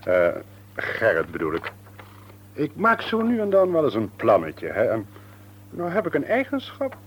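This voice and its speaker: An actor playing a hearty, long-winded husband with plans in an old Dutch radio play. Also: male voice, a little high, huge pitch swings, normal speed, breathy edge, exaggerated voice. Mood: deliberate. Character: talkative jovial husband with a business plan.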